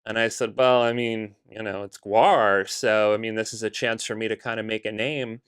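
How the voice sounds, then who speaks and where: A middle-aged man from the Bahamas with a Bahamian accent, a guest in an interview who tells his story in a relaxed way with many fillers. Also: fairly low pitch, normal speed, smooth. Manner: relaxed storytelling with frequent "uh" and "you know". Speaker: guest, concert promoter. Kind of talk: casual interview conversation.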